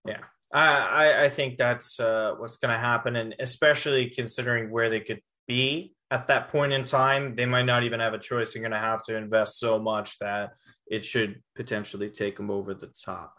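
A man's voice: medium pitch, steady tone, normal speed, bright, forceful tone. A man in his twenties hosting a casual sports podcast, talking loosely with frequent restarts. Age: 20s. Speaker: podcast host.

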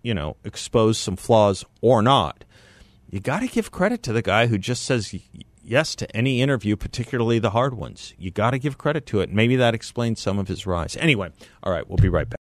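A man's voice: on the low side, big pitch swings, fairly quick, dry recording. A man hosting a radio talk show, chatting with a colleague in a conversational, self-correcting way. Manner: conversational, self-correcting, reads ads with enthusiasm. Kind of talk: casual radio talk and live ad read. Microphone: studio microphone.

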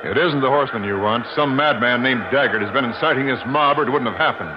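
An actor playing a confident American gentleman adventurer with an American accent and matter-of-fact manner in a 1950s radio drama. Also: mid-range voice, quick pace, lightly acted. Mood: concerned, steady. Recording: noisy muffled old radio recording.

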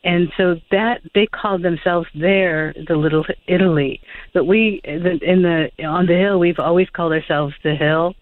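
A woman's voice: on the low side, fairly even intonation, normal speed, dry recording. A woman author talking as a radio guest over the phone, hesitant, with many fillers and restarts. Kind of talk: phone interview chat. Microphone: telephone. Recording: tinny phone line.

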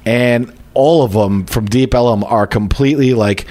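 A man's voice: medium pitch, normal speed, warm tone. Rambling, joking male podcast host. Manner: rambling, joking, lots of fillers and restarts. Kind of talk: casual chat about beer.

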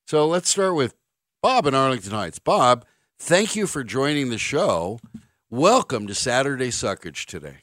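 A man hosts sports talk radio, his delivery blunt and sarcastic. His pitch swings widely as he rants. The studio mic sounds close and dry. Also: big pitch swings, unhurried, a bit bright, dry recording. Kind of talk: opinionated sports radio monologue. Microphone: studio microphone.

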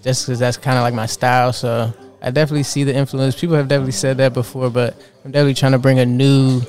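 A young designer in his twenties talks in an unhurried, earnest way, leaning on fillers as he explains his ideas. His delivery stays flat and level. Faint music plays in the background. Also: mid-range voice. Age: 20s. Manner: relaxed, filler-heavy, earnest. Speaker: fashion designer interviewed. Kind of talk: casual interview answers.

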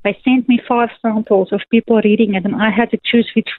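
A woman's voice: on the high side, fairly even intonation, brisk, no echo. A woman from South Africa whose first language is Afrikaans, speaking earnestly as a guest author with many fillers. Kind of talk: phone interview. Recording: tinny phone line, dry.

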